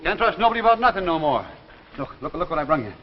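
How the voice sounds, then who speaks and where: An actor playing a crazy, sly old small-town man in a 1950s drama, with a rural American accent and a folksy, rambling, excitable way of talking. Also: fairly high pitch, rapid-fire. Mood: excited, insistent. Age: older. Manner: folksy, rambling, cackling, repeats himself. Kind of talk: 1950s television drama acting. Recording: noisy, muffled 1950s soundtrack with long reverb.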